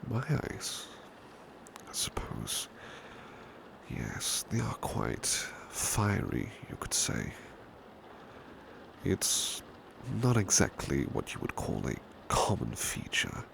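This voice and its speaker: A man playing a devoted, protective butler in an intimate ASMR roleplay, speaking in a hushed, gentle, courteous and hesitant British voice. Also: very deep voice, takes it slowly, gravelly, pauses often, exaggerated voice. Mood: reassuring, devoted. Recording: steady background noise, rain ambience. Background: rain ambience.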